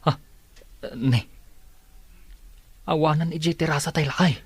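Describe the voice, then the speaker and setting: An actor playing an eager, jittery petty thief's sidekick in an Ilocano radio drama, speaking with an Ilocano accent. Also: male voice, a little high, unhurried, husky, exaggerated voice. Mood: sly, nervous.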